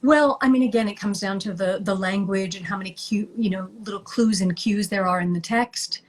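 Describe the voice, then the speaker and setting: A woman's voice: mid-range voice, fairly quick. A middle-aged woman, a guest actress, chatting casually in an interview over a video call and laughing lightly between thoughts.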